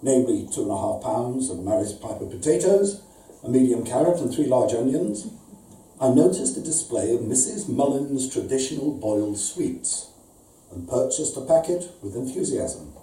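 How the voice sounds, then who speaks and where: Man acting as pedantic aggrieved letter writer, mock-serious, precise formal phrasing, very soft dark tone, roomy distant live recording. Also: medium pitch, animated, normal speed, close to an everyday voice. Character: pedantic, pompous, aggrieved male customer dictating a complaint letter. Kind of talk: live comic short story reading. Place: indoor arts venue reading, before an audience.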